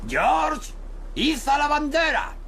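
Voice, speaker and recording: An actor with a Spanish accent from Spain playing a proud, blustering old grandpa pig boat captain in a children's cartoon. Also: male voice, extremely high pitch, big pitch swings, slow, creaky, a little hiss or hum, heavily acted. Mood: commanding.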